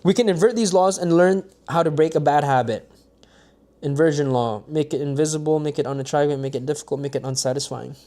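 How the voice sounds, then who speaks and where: A man's voice: a little high, a little fast, dark tone. A man reading a self-help book aloud on a livestream, with stumbles and restarts and casual asides to his viewers.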